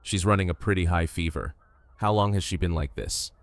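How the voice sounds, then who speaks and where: Man acting a male doctor, deep, dry recording, clinical, measured, reassuring, audio drama. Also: no room sound. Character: calm, measured male survivor-camp doctor.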